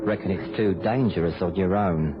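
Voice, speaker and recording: A calm, sinister police sergeant played by a man, very low in voice. The old radio drama recording is dull, with loud music behind. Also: normal speed, lightly acted. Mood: calm, matter-of-fact. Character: calm, quietly menacing male police sergeant villain. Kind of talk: 1950s-60s British radio drama acting.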